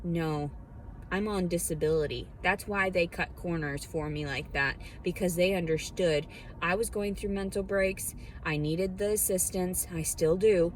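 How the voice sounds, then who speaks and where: Woman vlogger ranting, low-ish voice, defensive and fired up, faint car noise behind. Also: measured pace, rough recording. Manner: heated, defensive, venting. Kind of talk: personal rant to viewers.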